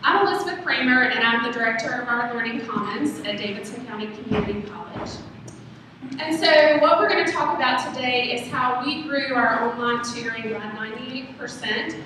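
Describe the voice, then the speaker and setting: A woman with a loud voice, presenting at a conference as director of a college learning center, chatty and asking the audience questions. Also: on the high side, a little fast. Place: conference room. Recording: echoey hall, distant mic, faint noise.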